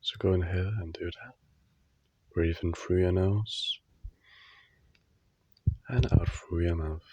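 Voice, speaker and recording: Male meditation guide, husky and very unhurried, soothing short phrases, even delivery for sleep relaxation. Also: low voice, highs cut off. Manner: slow, soothing, instructional, with long pauses between short phrases.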